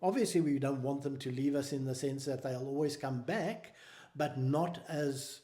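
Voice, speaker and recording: A man with a South African accent, a charity founder calmly explaining his work in an interview. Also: medium pitch. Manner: measured, explanatory. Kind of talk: interview answers.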